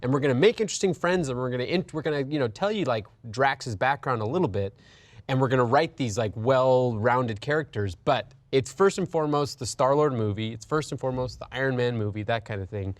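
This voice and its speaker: A man's voice: mid-range voice, brisk, no room sound. A man talking as a panelist in an opinionated casual discussion, making his points emphatically in repeated lists. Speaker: talk show panelist.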